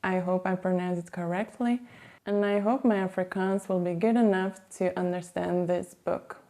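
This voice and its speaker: A woman with a Belgian Dutch accent, a native Dutch speaker, hosting her language vlog in a careful, measured way. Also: fairly low pitch, fairly even intonation, mellow. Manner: slow, careful, measured delivery. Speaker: language vlogger. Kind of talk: scripted vlog talk to camera.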